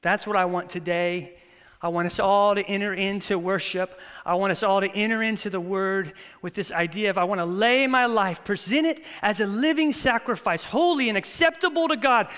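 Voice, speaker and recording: A man in his thirties, a pastor, preaching a sermon with prayer and lively stories that he acts out. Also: high voice, moderate pace, clean tone. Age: 30s. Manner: animated, storytelling with acted-out quotes. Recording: long reverb of a church hall.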